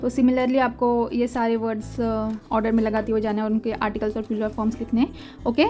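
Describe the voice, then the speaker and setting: High-voiced woman teaching German. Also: level tone, mellow. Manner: patient, repeats words slowly, mixes Hindi and English. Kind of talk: explaining textbook exercises. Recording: faint music behind.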